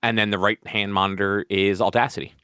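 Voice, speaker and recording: Podcast host guy, low-ish voice, dry close sound, teasing and opinionated. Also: flat delivery, fairly quick, sharp tone, clear, resonant tone, dry recording. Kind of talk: casual chat.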